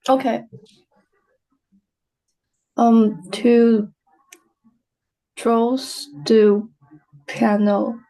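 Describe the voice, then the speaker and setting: A woman student sounds her way through practice sentences, stumbling and pausing between words. Her delivery is very slow and nearly flat in pitch. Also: low-quality recording. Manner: halting, stumbling over words. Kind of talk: reading practice sentences aloud.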